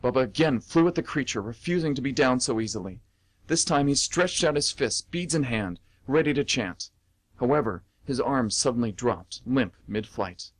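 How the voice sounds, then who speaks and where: A man's voice: mid-range voice, lively intonation, normal speed. A man reading dramatic story narration as the narrator of an amateur radio play.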